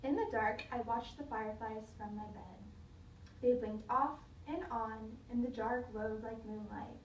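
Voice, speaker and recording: A woman teacher reading a children's story aloud in a dramatic, expressive way, pausing to question her listeners. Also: a little high. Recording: roomy, distant mic, faint noise.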